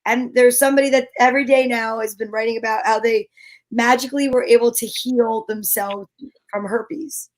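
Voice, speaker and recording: High-voiced woman co-hosting a casual show. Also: fairly even intonation, normal speed, poor audio. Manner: playful, rambling, breaks into song. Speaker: co-host. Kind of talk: casual comedic chat.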